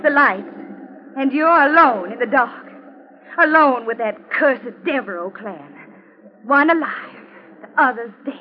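Cold taunting wife in an old radio play, slow and menacing, kinda high pitched, dull muffled sound. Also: female voice, animated, a little hiss or hum. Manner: slow, mocking, menacing delivery. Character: scheming vengeful young wife, coldly taunting. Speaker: radio drama actress. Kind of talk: 1940s radio acting.

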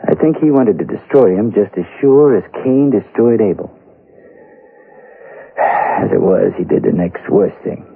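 A folksy small-town man of the Old West in a vintage radio drama. He speaks earnestly and haltingly, with lively swings of pitch and a rough, husky tone. The recording is dull and muffled. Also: full character voice. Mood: earnest, reflective. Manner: folksy, hesitant, emphatic storytelling. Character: small-town western man earnestly recounting a family's dark history. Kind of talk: 1970s radio drama acting, western.

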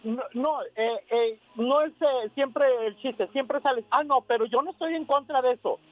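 Very high-voiced man complaining by phone. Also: moderate pace, no echo. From Sacramento area. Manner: insistent, complaining. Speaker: caller. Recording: tinny phone line.

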